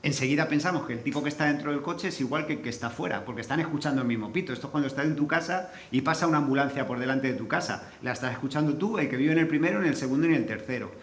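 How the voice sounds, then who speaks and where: A man's voice: medium pitch, rapid-fire, hardly any pauses. A man with a Castilian accent from Spain, talking informally on a panel as a sound designer, colloquial and loose with swearing. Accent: Spanish from Spain. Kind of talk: informal panel talk with anecdotes. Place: indoor forum venue. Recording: echoey room, faint background noise.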